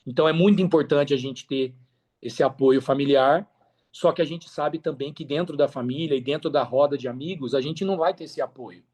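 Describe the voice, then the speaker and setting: A man's voice: on the high side, a little fast, darkish tone, breathy edge. A Brazilian man telling his story as a podcast guest in an expansive, slangy way, repeating himself for emphasis. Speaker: podcast guest, affiliate marketer. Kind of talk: casual interview storytelling.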